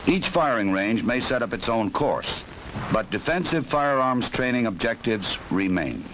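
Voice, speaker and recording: A man with an American accent giving authoritative, measured scripted narration for a 1960s instructional film. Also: medium pitch, lively intonation. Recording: noisy 1960s film soundtrack.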